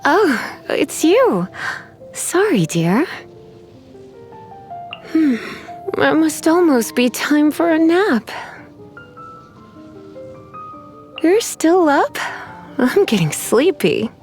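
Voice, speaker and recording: Sultry, teasing sorceress, lilting voice. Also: female voice, high-pitched, sing-song, darkish tone, soft background music, exaggerated voice. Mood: sleepy, teasing. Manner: sultry, teasing, unhurried, coy. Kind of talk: video game character voice lines.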